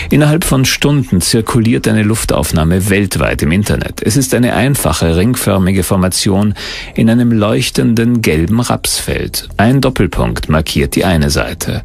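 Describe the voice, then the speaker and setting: A man's voice: fairly low pitch, vocal fry. A man reading scripted documentary narration as the narrator. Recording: music under the narration. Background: background music.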